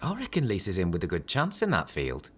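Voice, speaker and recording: A man's voice: low voice, huge pitch swings, a little fast, a bit bright, breathy edge, exaggerated voice. A man playing a practical, disapproving middle-aged mechanic father in a radio soap. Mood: stern, sceptical.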